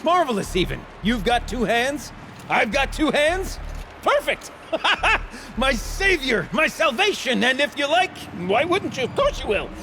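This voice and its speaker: An actor playing a chatty, eccentric older treasure hunter in a video game, with verbose, flowery, theatrical phrasing. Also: male voice, very high voice, big pitch swings, unhurried, pushed and bright, a little hiss or hum, exaggerated voice. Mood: gleeful. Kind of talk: video game character acting.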